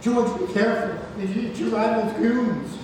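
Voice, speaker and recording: Stage grandfather, male actor: earnest, guiding, slightly high-pitched, in a heavily echoing room. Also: unhurried. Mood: worried. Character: protective grandfather playing a riddling golden idol. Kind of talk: stage play table read. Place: theatre room, recorded from far off. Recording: distant echoey hall, clear background noise.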